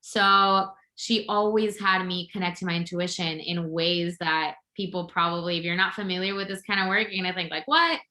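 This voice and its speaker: A woman's voice: on the low side, fairly even intonation, moderate pace, hardly any pauses, sharp tone, reverberant. A woman from Venezuela, a podcast guest and intuitive coach, telling her story in an open, upbeat way. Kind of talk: casual interview chat.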